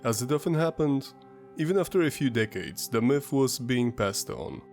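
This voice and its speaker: Brief: male host, stuffy sinus-congested voice, mid-pitched, measured documentary read, faint music underneath. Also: lively intonation, a little mellow. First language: Polish. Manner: measured, explanatory storytelling. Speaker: history channel host. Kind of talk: scripted documentary narration.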